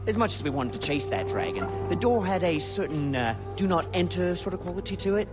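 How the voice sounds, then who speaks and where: A cheeky British stoner in a comic video game. His voice sits a little high and leaps about with dramatic, chatty enthusiasm. Music runs behind him. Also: sing-song, a little fast. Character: cheeky, excitable male stoner sidekick.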